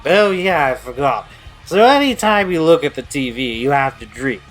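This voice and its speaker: Man playing a drunk, excitable college partygoer. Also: mid-range voice, moderate pace, faint music behind, close to an everyday voice. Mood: proud, rambling. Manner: rambling, hesitant, eager. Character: really drunk, eager college-age male partygoer explaining games. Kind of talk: audio drama acting.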